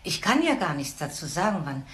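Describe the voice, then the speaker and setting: A woman's voice: sits low, sing-song, fairly quick, fairly bright tone, slight background noise. An actress playing a middle-aged mother in a crime radio play, formal and emphatic. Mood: apologetic. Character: proper middle-aged mother covering for her daughter. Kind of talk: German crime drama dialogue.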